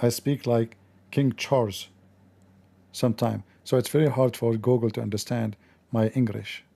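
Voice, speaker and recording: A man's voice: mid-range voice, steady tone, normal speed, creaky, warm tone. A man hosting a commentary show, talking in a sarcastic, mocking way. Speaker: host.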